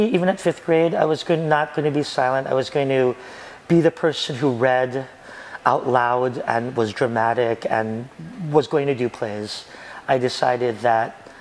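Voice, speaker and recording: A man in his forties, a spoken word poet, talking animatedly and dramatically in a documentary interview. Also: mid-range voice. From United States. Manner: animated, dramatic, gesturing expressively. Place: restaurant booth and outdoor graffiti alley.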